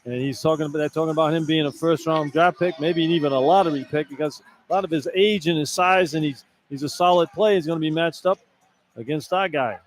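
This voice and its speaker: American man color commentator, informal, kinda high voice, little noise behind him. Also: a little fast. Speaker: color analyst. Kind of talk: conversational sports commentary.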